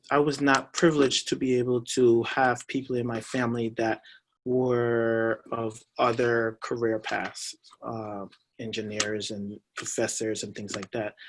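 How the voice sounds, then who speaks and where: A man from Guyana on a panel, telling his career story in a halting way with restarts. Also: mid-range voice. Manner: halting, restarts, uneven emphasis. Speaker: graduate student panelist. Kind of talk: personal account. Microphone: video call.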